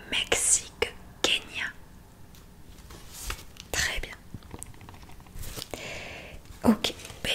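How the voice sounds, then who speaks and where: A woman whispers through an ASMR roleplay as the one running the tests. Her voice is fully unvoiced and breathy, close to the microphone. She gives soft instructions at a slow, unhurried pace. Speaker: ASMR roleplay host, examiner giving relaxation tests.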